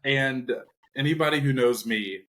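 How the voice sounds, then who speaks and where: A man's voice: medium pitch, unhurried, a bit bright. A man hosting a podcast, joking and casual as he interviews a guest. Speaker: podcast host.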